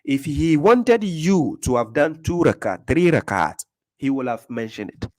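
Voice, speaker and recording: A man's voice: mid-range voice, very animated, a little slow, a little mellow. Emphatic Ghanaian man preaching. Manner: emphatic, repeats phrases, often asks "do you get my point?". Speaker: Islamic preacher. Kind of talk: informal religious teaching.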